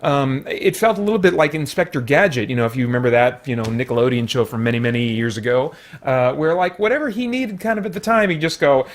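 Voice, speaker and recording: A man hosting a casual spoken movie review, rambling along with jokey, animated asides. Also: medium pitch, fast, hardly any pauses. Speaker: film reviewer and host.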